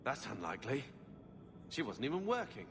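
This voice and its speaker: A man's voice: medium pitch, wildly swooping pitch, normal speed, long reverb tail, noisy background. An actor playing a brash, defensive male companion in a video game, speaking bluntly. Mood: flustered, defensive. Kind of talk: dramatic video game acting.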